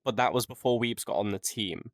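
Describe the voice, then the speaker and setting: A man's voice: fairly low pitch, brisk, dry recording, clean studio sound. A man co-hosting a casual podcast, joking and rambling with frequent laughs. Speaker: podcast co-host. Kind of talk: casual chat.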